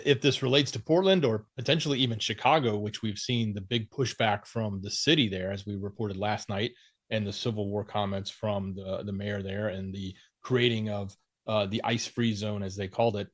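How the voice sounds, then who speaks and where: A man's voice: fairly low pitch, no room sound. A man co-hosting a news show, reporting and analyzing in an informal, explanatory, conversational way.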